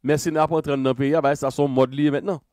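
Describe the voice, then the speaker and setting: A man with a Haitian accent hosting a political talk, chiming in with quick agreement and stammered restarts. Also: fairly high pitch, steady tone, a little mellow, dry recording, clean studio sound.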